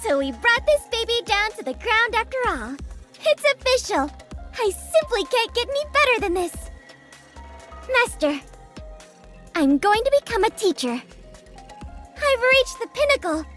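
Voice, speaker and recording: A woman's voice: very high voice, very animated, unhurried, pauses often, fairly bright tone, exaggerated voice. An actress playing a naive, boastful, childlike girl soldier in a video game, with a gushing, theatrical delivery. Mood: smug triumph. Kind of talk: English video game dub acting. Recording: music behind the voice.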